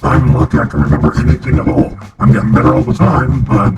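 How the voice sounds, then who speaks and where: An American man voicing a deep evil monster through a voice changer in a casual vlog. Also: monotone, fast talker, over loud music. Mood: matter-of-fact.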